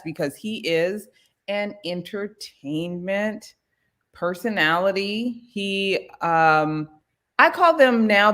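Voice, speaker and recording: A middle-aged woman with a fairly low voice hosts a relaxed talk show. She tells stories with lots of animation, acting out her side of the exchange in a pitched-up voice. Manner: animated storyteller, acts out quotes in a high voice. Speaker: host. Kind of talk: casual chat.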